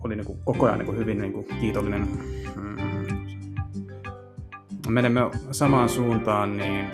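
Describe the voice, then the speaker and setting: Halting man translating a game over music. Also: lowish voice, fairly even intonation. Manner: halting, searching for words. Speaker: gaming channel host. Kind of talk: casual live translation of a visual novel.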